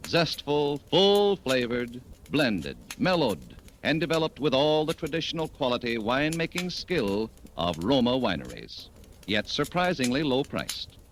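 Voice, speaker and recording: A man's voice: medium pitch, sing-song, measured pace. Wide-swinging 1940s American radio announcer man. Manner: polished, emphatic sales delivery. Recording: noisy old 1940s radio recording.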